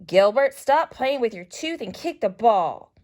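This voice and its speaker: A woman voicing a bossy, impatient young boy in a children's storybook, calling out commands loudly. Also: fairly high pitch, expressive, unhurried. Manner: commanding, loud. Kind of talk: children's storybook read-aloud.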